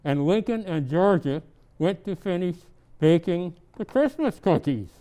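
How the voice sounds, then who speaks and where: A man's voice: sits high, expressive, unhurried, dark tone. A man reading aloud as a storyteller in the role of Santa, chatting casually between pieces. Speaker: storyteller presenting as Santa Claus.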